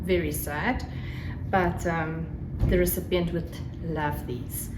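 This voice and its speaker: A woman's voice: fairly low pitch, steady tone, slow pace. A South African woman whose home language is Afrikaans, chatting casually and gushingly as the host of a solo craft podcast. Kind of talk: casual solo vlog chat. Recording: roomy echo, faint background noise. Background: crackling fire, faint noise.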